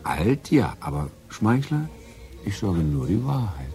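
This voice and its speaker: An actor playing a charming, melancholic middle-aged lover in a radio drama, flattering and teasing in a theatrical way. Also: male voice, on the low side, a little slow, soft background music. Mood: flattering, tender. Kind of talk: radio drama acting, intimate dialogue.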